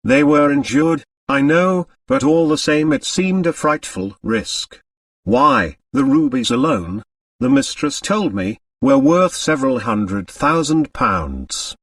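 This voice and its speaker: A man's voice: mid-range voice, huge pitch swings, slow, gravelly, no room sound, clean studio sound, far from the mic, exaggerated voice. A man voicing a deferential, chatty English lady's maid in an audiobook, easily flustered and very proper. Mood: uneasy, confiding. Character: nervous, discreet English lady's maid, respectful woman servant.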